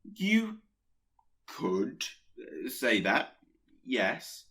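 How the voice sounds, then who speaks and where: A man's voice: medium pitch, very animated, extremely slow, fairly bright tone, husky, heavily acted. An actor in a radio play playing a nervous, awkward Pestilence who hesitates and stammers as he secretly admires catastrophe. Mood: hesitant admiration.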